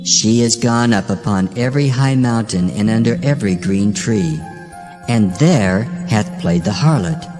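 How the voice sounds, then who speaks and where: Audio Bible drama: God, solemn and judging male actor, lowish voice, long hall reverb over prominent music. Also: expressive, a little slow. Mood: solemn reproach. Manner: solemn, declamatory. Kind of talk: dramatized scripture reading.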